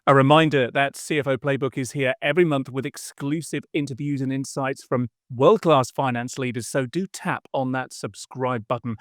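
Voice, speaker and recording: A man's voice: medium pitch, fairly bright tone, no room sound. A man hosts a business interview podcast and chats with his guest in a friendly, conversational way, now and then restarting a phrase. His voice sits in a middle range. The sound is close and dry.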